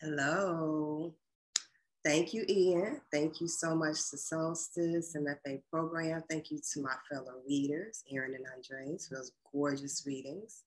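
Woman, middle-aged, poetry reading, low pitch, very unhurried, measured delivery, video call. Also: steady tone, rough recording. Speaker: poet. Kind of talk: poetry reading with casual introductions. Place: small furnished room at home, indoors. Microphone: video call computer microphone.

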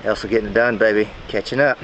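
Low-voiced man bantering over outdoor noise. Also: fairly even intonation, fast talker, a bit bright. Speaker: fishing companion. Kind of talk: casual banter while fishing. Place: outdoors on a bass boat on a small lake. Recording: noisy outdoor boat recording, often off-mic.

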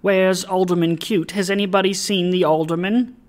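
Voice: medium pitch, fairly even intonation, unhurried, natural voice. A reader voicing an agitated, discreet male secretary in a storybook, urgent and confiding in manner. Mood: flustered. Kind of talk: dramatised audiobook of a classic story.

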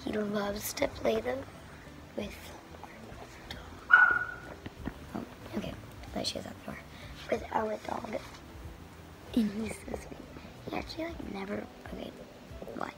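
Hoarse young girl vlogging softly. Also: medium pitch, slow, long pauses, heavy background noise. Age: young teen girl. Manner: rambling, soft and breathy. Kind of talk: casual vlog chat. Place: bedroom at home. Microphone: phone camera held close.

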